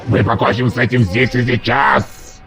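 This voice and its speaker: A short-tempered robot villain from a video game, acted by a man in a fan dub, scolds everyone like an imperious boss. The voice crackles with gravel at the edges. Music plays underneath. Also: mid-range voice, fairly even intonation, moderate pace, fairly bright tone. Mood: menacing.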